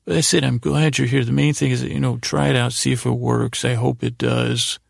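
A man's voice: mid-range voice, level tone, brisk, vocal fry, no echo, studio quality. A man hosting a podcast with a meandering, hesitant bedtime monologue that is meant to lull. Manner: meandering, hesitant, self-interrupting. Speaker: podcast host. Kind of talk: rambling, lulling bedtime monologue.

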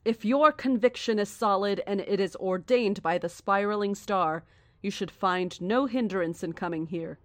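A woman's voice: medium pitch, no echo, clean studio sound, close to an everyday voice. Woman playing a serene, menacing cult leader, drily recorded. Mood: solemn warning. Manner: deliberate, formal, condescending, grandiose. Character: serene, menacing female cult leader preaching cosmic doom. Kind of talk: horror audio drama acting, live radio address.

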